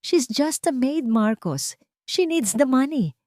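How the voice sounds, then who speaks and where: A woman's voice: high-pitched, lively intonation, slow pace, mellow, dry recording, studio quality, voice pushed up. A woman voicing a stern, protective rich man, a father and employer, speaking firmly and curtly in an audio story. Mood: dismissive.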